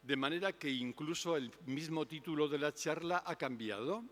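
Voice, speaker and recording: An older man giving an unhurried, reflective public talk on spirituality. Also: a little high, a bit bright. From Spain. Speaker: invited speaker. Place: lecture hall. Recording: long hall reverb.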